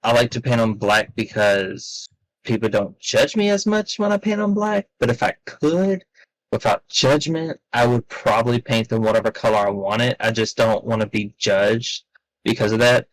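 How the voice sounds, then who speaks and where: A man's voice: mid-range voice, normal speed, no room sound, rough recording. A man chatting in a laid-back, rambling way on a podcast, with many fillers. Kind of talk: casual podcast chat.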